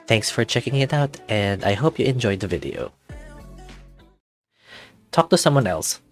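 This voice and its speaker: A man's voice: lowish voice, big pitch swings, moderate pace, faint music behind. A man narrating a visual novel playthrough as the channel host, reading the text aloud.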